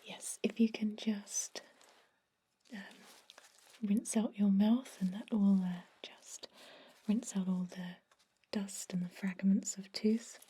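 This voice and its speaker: Female ASMR dentist role: breathy whisper and soft speech, unhurried, mellow, gently reassuring toward the patient. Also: medium pitch, fairly even intonation. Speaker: ASMR performer playing a dentist.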